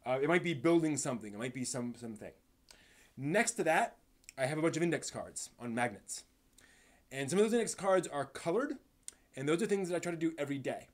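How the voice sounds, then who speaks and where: Halting, casual male live stream host. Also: a little high, lively intonation. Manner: halting, rambling, stumbles over words. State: tired. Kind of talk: casual live stream chat, answering chat questions.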